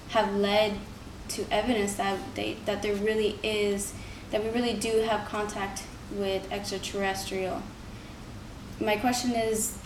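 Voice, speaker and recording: A young woman giving an earnest, informal persuasive speech, like a student presenting to a class. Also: medium pitch. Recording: roomy, distant mic, noisy background.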